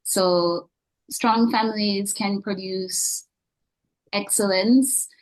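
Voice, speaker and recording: A woman giving an informal welcome speech, earnest and a little halting as she finds her words. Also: mid-range voice, fairly even intonation, poor audio. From Jamaica. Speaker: organisation ambassador giving greetings.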